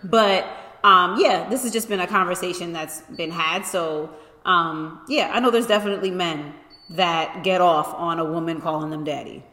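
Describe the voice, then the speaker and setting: A woman co-hosting a casual podcast, speaking her mind frankly and laughing easily. Her voice sits low for a woman but sounds bright. The room gives it a long, echoey reverb. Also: normal speed. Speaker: podcast co-host. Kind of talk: casual chat.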